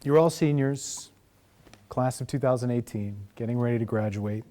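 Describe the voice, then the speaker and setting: An interviewer asking a group of students about their lives, in a gentle, inquisitive way. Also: mid-range voice, moderate pace. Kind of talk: interview questions.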